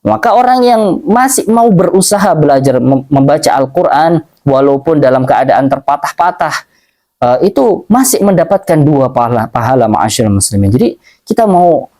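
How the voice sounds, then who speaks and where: Man, Islamic teacher, religious lecture, mellow tone, repeats phrases, recites Arabic text. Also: a little high, expressive. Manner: explains slowly, repeats phrases, reads Arabic passages aloud.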